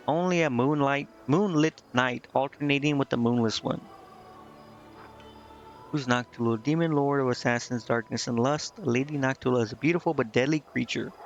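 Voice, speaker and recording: A man streaming a game, commenting casually and reading dialogue aloud in a hesitant way, stumbling over words. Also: mid-range voice. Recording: slightly muffled, music behind. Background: game music.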